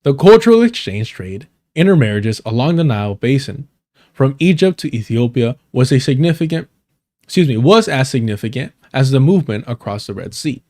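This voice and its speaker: A man's voice: mid-range voice, big pitch swings, a little fast, darkish tone. Man reading an article on stream. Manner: stumbling reader who corrects himself and apologizes. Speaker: livestream host. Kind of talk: reading an article aloud on a livestream.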